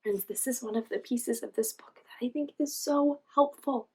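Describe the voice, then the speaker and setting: A woman hosting a children's read-aloud, reading expressively and pausing to ask encouraging questions. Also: fairly high pitch, slightly rough. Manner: encouraging, asks questions and pauses for answers. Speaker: read-aloud storytime host, teacher.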